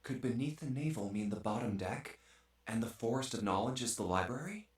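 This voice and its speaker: An actor playing a curious young man in a video game, eager and thinking out loud. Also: medium pitch, expressive, a little fast, slightly rough. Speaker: voiced game protagonist.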